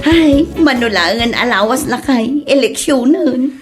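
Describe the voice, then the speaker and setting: A woman's voice: sits high, animated, unhurried, loud music behind the voice. A woman with an Ilocano accent playing a loyal, scolding politician's wife in a comedy drama. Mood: gossipy.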